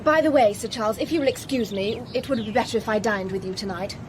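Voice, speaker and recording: An actress playing a formidably efficient English secretary, formal and clipped, in a radio drama. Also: female voice, mid-range voice, fast, close to an everyday voice. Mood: brisk, formal. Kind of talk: British radio drama acting. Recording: noisy radio play recording.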